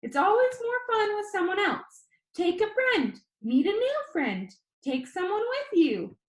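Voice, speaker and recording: A very high, excitable young male video host from a storybook, as a woman reader acts him out. He is upbeat, a little weird and emphatic, urging listeners on with big swoops of pitch. The room rings slightly around the voice. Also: measured pace, roomy sound, exaggerated voice. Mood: playful, encouraging. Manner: bubbly, emphatic, encouraging delivery. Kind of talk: theatrical audiobook character voice.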